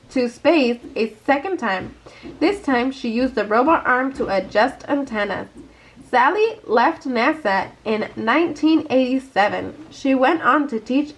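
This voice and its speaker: Female storybook reader, slightly high voice, children's read-aloud narration. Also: low-quality recording.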